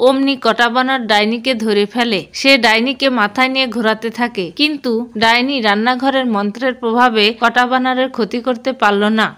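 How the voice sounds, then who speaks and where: A woman's voice: fairly high pitch, steady tone, fairly quick, fairly bright tone, clean tone. A woman giving scripted storybook narration for a cartoon.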